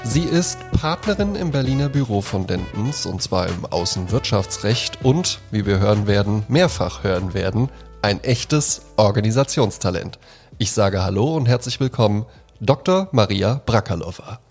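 Ein Mann moderiert ein Podcast-Interview, gesprächig und zugewandt, mit vielen bestätigenden Einwürfen. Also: male voice, fairly low pitch, animated, normal speed, a little mellow, soft background music. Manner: friendly, chatty, lots of affirming fillers. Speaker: podcast host.

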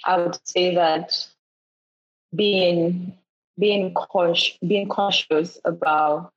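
A woman from Ghana, a guest in a podcast interview, telling travel stories in an animated way, acting out the voices she quotes and often restarting her sentences. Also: on the low side, extremely slow. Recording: dull lo-fi audio.

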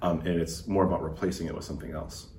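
A very low-voiced man from the States chats easily as a podcast guest, with loose fillers. He sits a little back from the mic in a roomy space with a faint hiss. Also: fast, recorded from a distance. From United States. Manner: relaxed storytelling with fillers. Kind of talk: casual conversation.

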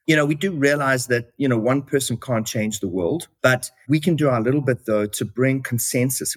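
A man's voice: medium pitch, dry recording. Guest: South African man, reflective, filler-heavy answers, dead-dry recording. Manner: reflective, with many fillers like "you know". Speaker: company chief executive, guest. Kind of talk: interview answers.

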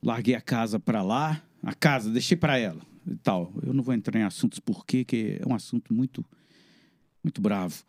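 A man's voice: medium pitch, a little mellow, dry recording, studio quality. A man, a guest in an interview, talking plainly and telling his own story at length. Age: 60s. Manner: plain-spoken, evasive, drawn-out storytelling. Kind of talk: casual interview talk.

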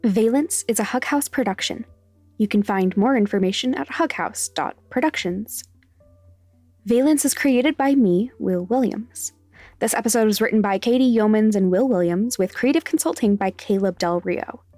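A woman's voice: medium pitch, normal speed, darkish tone, no room sound. Dry-recorded woman reading podcast credits. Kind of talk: scripted credits narration. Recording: dry studio voice over music. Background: faint music.

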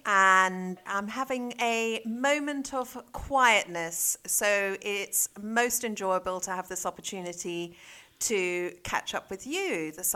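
A woman's voice: medium pitch, bright, forceful tone. A middle-aged woman with a South African accent, talking reflectively and conversationally as an interview guest. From South Africa, born in Zambia. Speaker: interview guest, head of a junior school.

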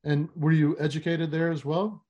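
A man's voice: on the high side, level tone, a little mellow, dull audio. A man hosting a podcast interview, speaking with frequent fillers and restarts. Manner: halting, many fillers and restarts. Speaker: podcast host.

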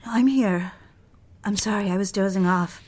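A woman's voice: mid-range voice, moderate pace, darkish tone, natural voice. An actress playing a proper, dutiful and easily flustered young secretary in an audio drama, a woman speaking hesitantly and earnestly. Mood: drowsy, polite. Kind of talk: dramatic audio fiction, intimate dialogue.

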